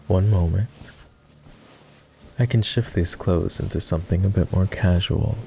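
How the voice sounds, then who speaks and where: A man playing a flirty, courtly vampire aristocrat in an intimate roleplay ASMR, with refined, formal, teasing speech in a low, gravelly murmur. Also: unhurried, mellow, slight background noise. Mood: amused, casual. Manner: refined, formal diction with playful teasing and sighs.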